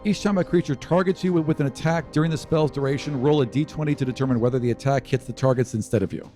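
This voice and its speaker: A man's voice: medium pitch, fast talker, dark tone. A man acting as game master of a tabletop role-playing game, casually narrating and explaining the rules. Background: music.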